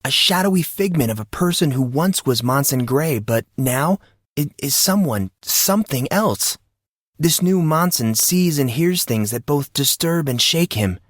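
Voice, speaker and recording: A man's voice: fairly high pitch, expressive, a little slow, no echo, clean studio sound, pitched above the performer's own voice. A man voicing an intense, troubled teenage boy in an audiobook drama, earnest and self-deprecating, with impassioned, dramatic delivery. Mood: anguished confession.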